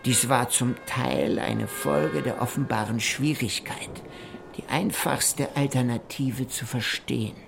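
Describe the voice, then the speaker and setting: A man giving measured, formal narration of a journal in a literary radio play. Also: medium pitch, vocal fry, music in the background. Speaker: narrator reading an explorer's journal.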